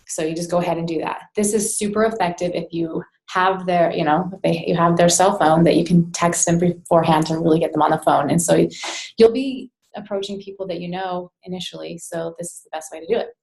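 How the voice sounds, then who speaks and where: Echoey, lower-pitched woman giving informal training. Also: steady tone, brisk, gravelly, darkish tone. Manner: conversational, direct address with rhetorical check-ins. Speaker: sales trainer. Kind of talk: informal instructional presentation. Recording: roomy, echoey sound.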